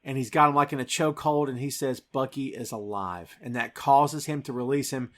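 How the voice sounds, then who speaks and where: A male podcast host with a medium-pitched voice gives an enthusiastic film recap. He tells it conversationally and emphatically, slipping into quoted dialogue as he goes. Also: fairly quick, fairly bright tone.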